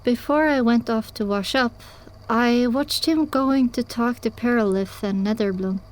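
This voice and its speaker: A woman playing a young pony mare who tells her own story in earnest, measured audiobook narration. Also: on the high side, natural voice, low-quality recording. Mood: reflective, earnest. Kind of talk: scripted first-person audiobook narration.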